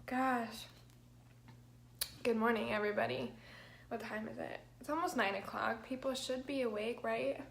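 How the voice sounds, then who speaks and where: Hoarse young woman chatting on a livestream. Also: fairly high pitch, fairly even intonation, moderate pace, long pauses, roomy sound. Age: young adult. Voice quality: chatty and rambling, fills with "like" and "um". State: hoarse, crackly voice from allergies. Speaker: makeup vlogger. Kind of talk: chatty get-ready-with-me livestream. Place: small bedroom, indoors. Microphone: built-in mic of a camera at arm's length.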